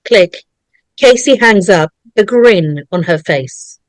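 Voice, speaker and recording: A woman's voice: medium pitch, animated, takes it slowly, no echo, rough recording. Dry-sounding woman narrating a script. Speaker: narrator reading stage directions. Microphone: video call microphone.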